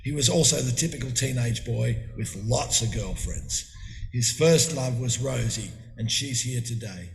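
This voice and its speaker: A man's voice: mid-range voice, gravelly. Man giving a eulogy in an echoey hall. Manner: conversational, halting with fillers and restarts. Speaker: eulogy speaker. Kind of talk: funeral eulogy. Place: memorial hall. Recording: echoey hall with long reverb.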